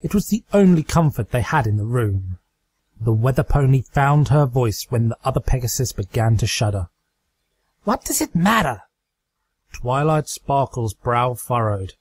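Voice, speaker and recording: A man's voice: medium pitch, expressive, moderate pace, darkish tone. A man narrating a dramatic story as a fiction reader.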